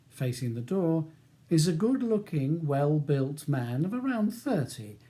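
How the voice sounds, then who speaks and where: A man's voice: a little high, dark tone. A man with a British accent reading scripted audiobook narration as the author of the novel.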